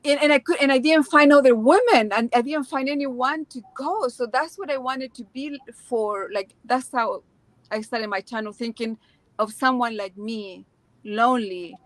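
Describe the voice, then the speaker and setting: A woman from Chile with a Chilean Spanish accent, chatting casually as a live interview guest in an emphatic, expressive way. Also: fairly high pitch, moderate pace, dry recording, rough recording. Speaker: interview guest, video channel creator.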